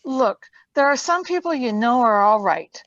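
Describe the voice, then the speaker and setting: A woman's voice: on the high side, animated, moderate pace, a bit bright, dry recording. A woman playing an earnest, loyal young companion in a radio play reading, protesting, over a dull lo-fi microphone.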